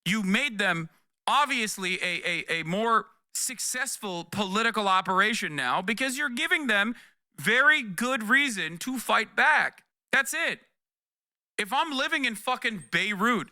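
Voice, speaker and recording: A man's voice: high voice, bright, forceful tone, no echo, clean studio sound. A man giving emphatic, blunt, off-the-cuff political commentary on a livestream, reacting to news and often swearing.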